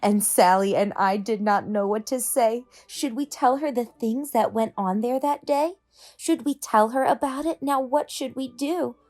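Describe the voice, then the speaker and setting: A woman reading a rhyming children's story aloud, with expressive, measured phrasing meant for young listeners. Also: a little high. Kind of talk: storybook read-aloud narration in rhyme.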